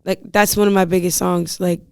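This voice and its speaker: A woman rapper with a raspy voice, talking casually and with lots of slang in an interview. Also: on the low side, flat delivery, fairly quick, a little mellow, no room sound, clean studio sound. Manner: slangy, rambling, laughs often. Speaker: rapper guest.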